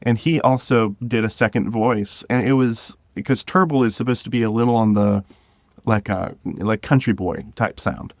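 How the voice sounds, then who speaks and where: A man talking casually and enthusiastically as an interview guest, in an informal, halting way. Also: fairly low pitch, no room sound, studio quality. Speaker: podcast guest, drama creator.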